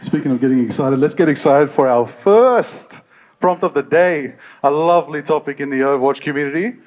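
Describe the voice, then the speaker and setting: A man's voice: a little high, brisk, a little mellow. A man hosting a live convention panel, announcing and hyping up the crowd. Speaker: panel host. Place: convention stage before a crowd.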